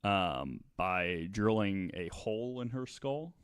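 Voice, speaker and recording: A man hosting a podcast, recounting a true-crime story to co-hosts in a halting, measured way. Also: on the low side, lively intonation, clean tone, no room sound, studio quality. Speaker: podcast host.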